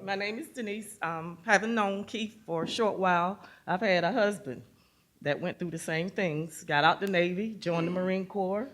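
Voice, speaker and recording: A woman's voice: lowish voice, normal speed, fairly bright tone. A woman in the audience, a veteran's wife, speaking informally about her family's experience in a rambling, storytelling way. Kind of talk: informal remarks at a forum.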